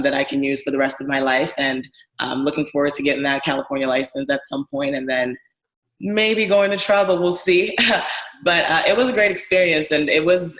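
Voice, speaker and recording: Woman's voice in an echoey room. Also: deep voice. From Ghana. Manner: friendly, laughs easily, relaxed storytelling. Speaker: guest, small business owner. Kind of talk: casual interview conversation.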